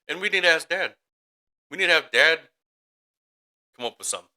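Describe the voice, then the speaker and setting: Guy chatting on a podcast w his brother, really bright voice, goes off on tangents n laughs a lot. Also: on the high side, expressive, a little fast. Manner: rambling storyteller, laughs a lot, acts out voices in anecdotes. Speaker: podcast co-host. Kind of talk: casual chat between brothers.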